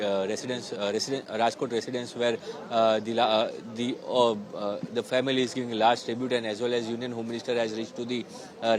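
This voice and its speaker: A man with an Indian accent giving a live field report, hesitant and repeating himself with many false starts. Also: mid-range voice. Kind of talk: live on-the-spot news report.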